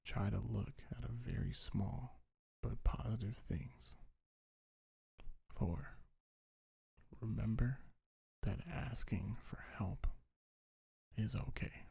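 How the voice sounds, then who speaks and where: A man speaking gentle, soothing ASMR affirmations in a breathy, husky half-whisper, unhurried and close to the microphone. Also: lowish voice, no room sound. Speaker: ASMR creator. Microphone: close microphone, dry.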